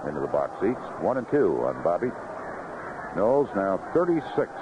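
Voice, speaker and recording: A man's voice: low-pitched, very animated, normal speed, highs cut off, noisy background. A man calling live baseball play-by-play as a radio announcer on a vintage 1970s broadcast. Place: outdoor ballpark broadcast booth.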